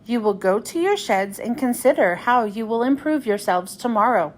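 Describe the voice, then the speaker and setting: A woman's voice: on the high side, expressive, moderate pace, close to an everyday voice. A woman voicing a stern, formal male railway boss who scolds his engines in a children's storybook.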